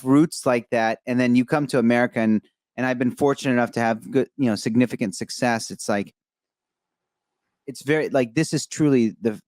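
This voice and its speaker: A young adult man from Egypt hosting a podcast interview, talking in an animated, effusive, rambling way with many fillers. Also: medium pitch, no echo, clean studio sound. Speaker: podcast host. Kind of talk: enthusiastic interview chat.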